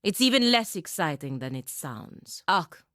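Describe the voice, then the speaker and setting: A woman's voice: lowish voice, big pitch swings, a little slow, bright, forceful tone, no room sound, heavily acted. A woman voicing a proud, disciplined birdlike paladin in a video game, with a dry, clipped, commanding manner and sardonic edge. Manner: dry, clipped, commanding, with foreign words mixed in. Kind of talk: video game voice acting, dialogue and battle barks.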